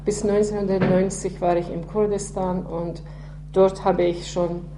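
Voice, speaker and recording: A woman in her sixties from Iran, speaking German with an Iranian accent, telling her life story haltingly with many fillers. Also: on the low side, level tone, mellow. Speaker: interviewee. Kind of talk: personal testimony. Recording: echoey room with faint hum.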